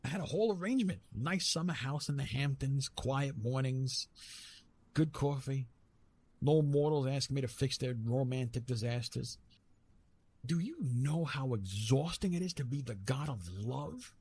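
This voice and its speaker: A man voicing a weary, wry retired god of love in an ASMR roleplay, with dramatic, halting delivery and repeated words. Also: medium pitch, darkish tone, slightly rough. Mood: bemused grumbling.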